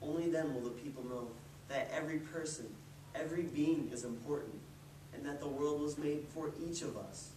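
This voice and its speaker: A man playing a wise, gentle, ancient turtle in a storybook readers theatre piece, speaking in a solemn, measured way. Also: a little high, lightly acted. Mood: solemn, kindly. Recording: roomy, distant mic with clear noise.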